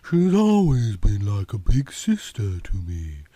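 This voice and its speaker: A man's voice: on the low side, wildly swooping pitch, slow, dark tone, breathy edge, dry recording, pitched below the performer's own voice, exaggerated voice. A man doing a drawling southern woman. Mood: coy. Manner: coy, drawling. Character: flirtatious red-haired southern woman, about thirty-five.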